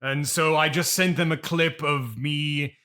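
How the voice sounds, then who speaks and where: A man's voice: on the high side, steady tone, unhurried, sharp tone. A man playing a pompous, melodramatic classically trained stage actor, bitter and theatrical, as a guest in a comedy interview. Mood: sheepish. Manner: theatrical, haughty, swinging into pleading outbursts.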